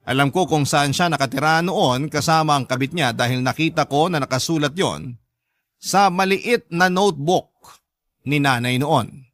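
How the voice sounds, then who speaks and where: A man with a Filipino accent narrating as a sorrowful grown child in an earnest, measured radio drama letter style. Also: on the high side, fairly bright tone, no echo, studio quality, lightly acted. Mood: sorrowful, reflective. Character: sorrowful grown child recounting a father's betrayal.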